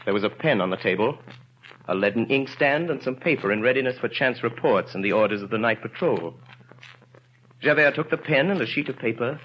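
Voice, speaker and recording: A man narrating a literary radio drama of the 1930s in a grave, theatrical manner with measured phrasing. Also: mid-range voice, expressive, slight background noise. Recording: muffled 1930s radio recording.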